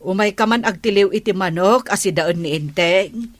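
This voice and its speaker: A woman's voice: medium pitch, moderate pace, no echo, close to an everyday voice. A woman playing a village captain's patient but nagging wife in a comedy radio drama. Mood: asking a favor.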